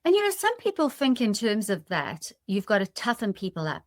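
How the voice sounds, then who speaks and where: A woman's voice: medium pitch, wildly swooping pitch, fairly quick, no echo, clean studio sound. A woman hosting a podcast in a conversational, reflective way, explaining her thoughts to listeners. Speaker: podcast host.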